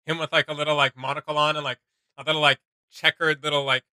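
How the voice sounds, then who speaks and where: A man hosting a reaction video, chatting casually in a jokey, excitable way. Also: a little high, quick pace, clean tone, no room sound, studio quality. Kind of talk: casual, animated reaction chat.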